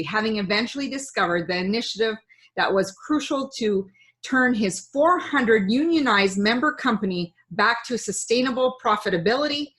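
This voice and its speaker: An upbeat woman host speaks in a medium-pitched voice. She reads a guest introduction with bright enthusiasm, in the polished style of a talk show.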